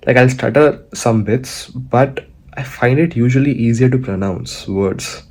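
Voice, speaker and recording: A man's voice: medium pitch, measured pace, a little mellow. A young man from India, talking casually to the camera in a vlog, with many fillers and restarts. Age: young adult. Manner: conversational, frequent restarts and fillers. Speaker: vlogger. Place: outdoors, walking.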